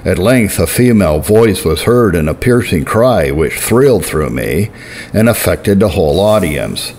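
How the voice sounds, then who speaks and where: A man giving a solemn, deliberate reading of historical religious writing, slowing down to stress key phrases. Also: sits low, animated, darkish tone. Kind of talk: measured scripted reading.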